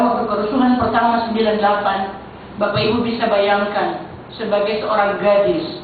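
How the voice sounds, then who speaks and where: A middle-aged woman from Central Sulawesi with a Sulawesi accent, chair of a church council, giving a conversational testimony to a congregation. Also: medium pitch. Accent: Sulawesi Indonesian. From Central Sulawesi, Indonesia. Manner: conversational, addressing the congregation directly. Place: church hall. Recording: echoey church hall, distant mic, phone-like band, faint noise.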